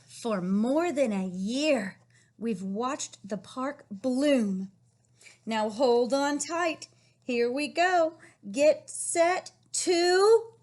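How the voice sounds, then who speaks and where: A woman reading a picture book aloud to young children, encouraging and questioning them in an animated way with a husky, breathy voice. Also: high-pitched, extremely slow. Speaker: read-aloud storyteller teaching young children.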